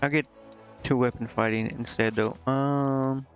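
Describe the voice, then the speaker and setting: A man's voice: medium pitch, fairly even intonation, unhurried. A man giving casual gameplay commentary, indecisive and muttering to himself as he streams. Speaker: game streamer. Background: game music.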